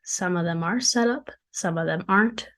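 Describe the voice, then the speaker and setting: A young woman with a hoarse voice, hosting her own podcast in casual, chatty solo talk from her dorm room, with self-deprecating jokes. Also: on the low side, normal speed. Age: young adult. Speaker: podcast host and founder. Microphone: desk mic at a computer.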